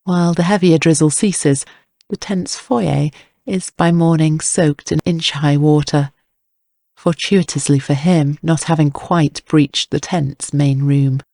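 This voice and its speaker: A man's voice: on the high side, fairly even intonation, measured pace, vocal fry, mellow, dry recording, studio quality. A man reading scripted dramatic narration as an audiobook narrator.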